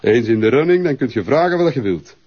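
An actor with a Flemish accent playing a smooth, flattering and pushy photographer in a naturalistic TV drama. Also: male voice, mid-range voice, fast talker, highs cut off. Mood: businesslike, coaxing. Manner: smooth-talking, persuasive.

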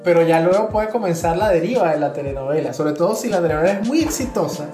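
A man's voice: fairly high pitch, animated, quick pace, reverberant, music in the background. A man with a Venezuelan accent explaining things in casual podcast chat, repeating words as he thinks. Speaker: podcast co-host.

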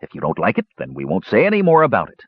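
A man's voice: fairly low pitch, huge pitch swings, fast, husky, highs cut off, heavily acted. A man voicing a cheerful, boastful young warrior in a fantasy audiobook, jaunty and coaxing.

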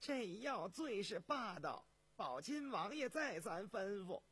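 A man playing a meek, anxious palace eunuch in a storybook reading, with a thin raised voice and a halting, obsequious delivery. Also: sits high, big pitch swings, a bit bright, slightly rough, dry recording, pitched above the performer's own voice, full character voice. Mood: anxious, pleading.

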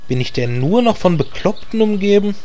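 A man's voice: sits high, expressive, fairly quick. A man playing a sensible, exasperated magician who leads a fantasy party in a comedy audio drama, with a dry delivery.

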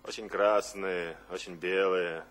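An actor playing a bold, blunt male poet in a radio drama, speaking in a deliberate, declamatory manner. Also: deep voice, fairly even intonation, fairly bright tone. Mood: declamatory, solemn. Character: Mayakovsky, bold blunt male poet reciting his own words. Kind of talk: theatrical radio drama acting.

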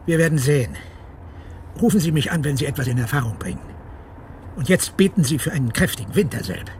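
Lively higher-voiced male inspector in a car acted. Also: lightly acted. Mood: probing, wry. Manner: explanatory, deliberate, probing. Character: measured, shrewd male police inspector, wary official. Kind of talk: German radio crime drama dialogue. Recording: noisy vehicle interior ambience. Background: car driving noise.

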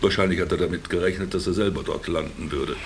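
A man's voice: low voice, steady tone, quick pace, faint music behind, lightly acted. An actor playing a sardonic former businessman in a radio play, speaking with dry irony and a theatrical, lecturing manner. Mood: mock lecturing. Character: sardonic former businessman stranded in a camp, teasing his companion. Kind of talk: German radio play dialogue.

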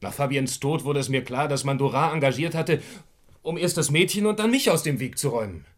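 An actor playing a cornered gangster in a German radio crime drama, bitter and defensive, with terse speech and dramatic emphasis. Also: male voice, medium pitch, expressive, fairly quick, lightly acted. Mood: grim confession.

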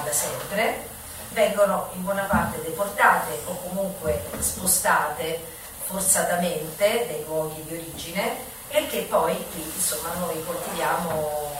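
A woman performer giving an informal, explanatory introduction to an audience, halting at times and stressing key words. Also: lowish voice. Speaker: stage performer introducing a show. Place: echoing performance space, recorded from afar. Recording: very echoey, distant mic, noisy room.

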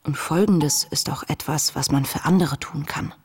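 Radio drama diarist: young woman, searching and contemplative, deep register with plenty of fry. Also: a little mellow, slight background noise. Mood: earnest, reflective. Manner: contemplative, measured, intimate. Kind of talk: radio play, diary read as monologue. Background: music.

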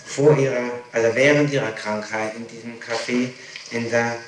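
A man questioning someone in a police interrogation, methodical and insistent, speaking haltingly with pauses. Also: medium pitch, steady tone. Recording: echoey, noisy 1960s archive tape.

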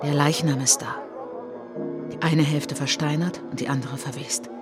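A woman performing a solemn narrator in a literary radio drama, speaking in measured, dramatic short phrases. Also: sits low, steady tone, close to an everyday voice. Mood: grim. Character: solemn woman narrator recounting colonial atrocities as a traveller. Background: prominent music behind the voice.

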